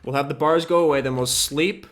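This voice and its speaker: A man giving a casual, offhand software tutorial, thinking aloud as he works. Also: a little high, fairly even intonation, fairly quick. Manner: informal, loose and offhand, occasional swearing. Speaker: host of a game modding tutorial. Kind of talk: casual screen-capture tutorial, thinking aloud while working.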